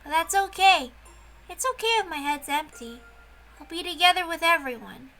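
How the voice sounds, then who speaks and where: A woman's voice: extremely high pitch, lively intonation, moderate pace, sharp tone, voice pushed up, full character voice. A woman voicing a lonely, dazed young girl narrating a visual novel in the first person, wistfully and simply. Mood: dreamy resolve.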